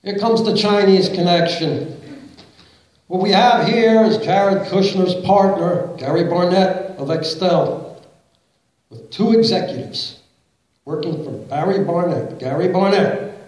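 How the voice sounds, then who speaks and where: A man's voice: on the high side, darkish tone. A middle-aged man making a public comment at a council meeting, reading quotes aloud and firing pointed yes-or-no questions from the podium. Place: large council chamber, indoors. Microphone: podium mic, recorded by a far camera in the audience. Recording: very echoey hall from far off.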